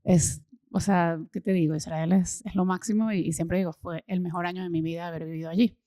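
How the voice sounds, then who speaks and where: A Venezuelan woman in her thirties, talking enthusiastically as an interview guest and often pausing with fillers and repeated words. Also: fairly low pitch, fairly even intonation, warm tone, dry recording. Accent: Venezuelan Spanish. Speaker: guest, social impact consultant. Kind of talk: casual interview conversation.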